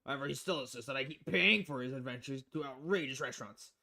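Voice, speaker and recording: A man's voice: mid-range voice, fast, gravelly, pushed and bright. A man voicing a crass, cynical, money-loving newspaper editor in a visual novel, brash and foul-mouthed in manner. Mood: scornful.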